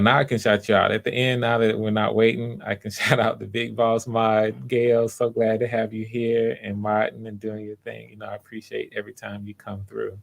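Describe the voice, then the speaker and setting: A man's voice: fairly low pitch, vocal fry. A man hosting a livestream in a casual, chatty style, speaking in an encouraging and appreciative manner. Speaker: livestream host.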